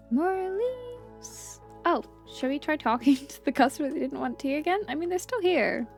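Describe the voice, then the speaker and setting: A woman giving casual, playful gameplay commentary as a video host, chatty and quick to exclaim. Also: high voice, expressive, faint music behind.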